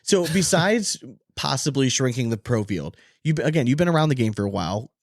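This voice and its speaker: A man's voice: medium pitch, very animated, fairly quick, no echo, studio quality. A man co-hosting a casual sports podcast, talking in an emphatic, opinionated way and swearing casually. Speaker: podcast co-host.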